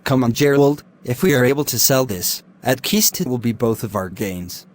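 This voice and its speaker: A man's voice: mid-range voice, lively intonation, normal speed, natural voice. A young man, acting a desperate student who keeps begging his friend for help. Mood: pleading. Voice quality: begging, insistent pleading. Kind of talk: amateur drama acting.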